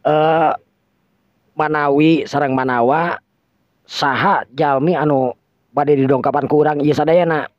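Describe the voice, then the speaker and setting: A man's voice: on the high side, steady tone, unhurried, pauses often, pitched above the performer's own voice, close to an everyday voice. A man voicing a deferential, anxious village man in a radio drama, speaking politely and hesitantly, often repeating himself. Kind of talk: Sundanese radio drama acting. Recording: dull lo-fi recording with echo.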